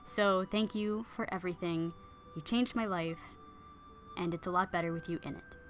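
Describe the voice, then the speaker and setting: A woman's voice: on the low side, normal speed, soft background music, voice pushed down, close to an everyday voice. A woman storyteller voicing an earnest, awkward, heartbroken male knight in casual modern speech. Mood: tender, grateful. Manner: plain-spoken, hesitant, earnest.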